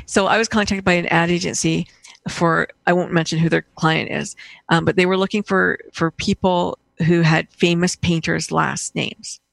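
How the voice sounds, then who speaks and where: A woman from Austria with heavy vocal fry talks as a guest in a casual interview. She tells stories in an animated way and voices the quoted dialogue herself. The sound is dry, with very little room to it. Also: on the low side, normal speed, no echo. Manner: animated storyteller, acting out reported dialogue. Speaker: guest, painter and coach.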